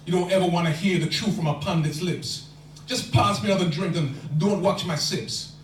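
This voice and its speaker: A man recites spoken word poetry in a steady, rhyming rhythm, his voice sitting a little high. He is recorded from far back in an echoey lecture hall. A faint hum runs underneath. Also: moderate pace. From London.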